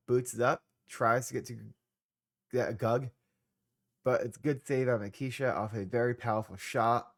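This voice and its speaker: A young man with a congested voice, casually narrating a football management game he is streaming, with laid-back, trailing asides. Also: fairly low pitch. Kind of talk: casual gameplay commentary.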